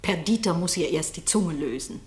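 A woman playing a commanding noblewoman in a classic radio drama, declaiming verse in a solemn, measured way. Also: fairly low pitch, animated, roomy sound, lightly acted. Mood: urgent, reassuring. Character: commanding noblewoman bringing a stone queen to life.

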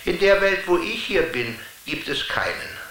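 An actor playing a touchy, talkative television character in a children's radio play, explaining things theatrically. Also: male voice, high-pitched, sing-song, a little slow, pushed and bright, reverberant, exaggerated voice, poor audio. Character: touchy, talkative male TV character living inside the screen.

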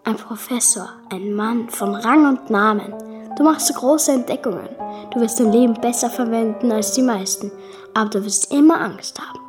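A high-pitched woman's voice over clear music, performed by a radio actress. She is a knowing, tender and melancholy dream figure in a radio play, speaking in measured phrases. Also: close to an everyday voice.

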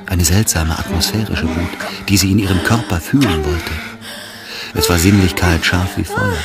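Casting: deep-voiced man as storyteller for a literary radio play, narrating over strong musical score.

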